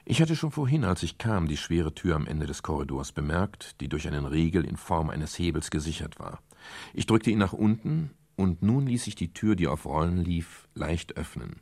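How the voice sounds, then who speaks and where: Deep gravelly measured male private detective. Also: expressive, a little mellow. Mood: reflective narrating. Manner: measured, deliberate, explanatory. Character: calm, reflective male private detective explaining a case. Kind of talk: German radio crime drama, dialogue and first-person narration.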